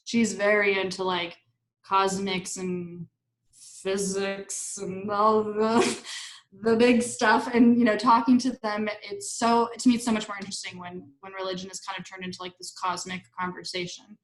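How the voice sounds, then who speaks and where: Young woman, chatty interview guest, lots of likes and little laughs, roomy echo. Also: medium pitch. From Argentina. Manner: chatty, many likes and restarts, laughs often. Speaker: staff writer and interview guest. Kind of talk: casual conversational interview.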